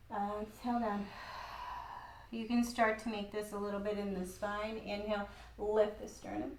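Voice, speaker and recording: A woman with a croaky voice guiding a gentle yoga class in an old studio, cueing calmly with light laughs. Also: on the high side, echoey room, slight background noise, low-quality recording. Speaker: yoga instructor.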